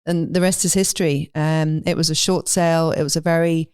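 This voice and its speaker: Smooth, low-voiced London Englishwoman. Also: level tone, fairly quick, darkish tone, no room sound, clean studio sound. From London, England. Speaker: podcast guest, animal sanctuary owner. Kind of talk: reflective interview conversation.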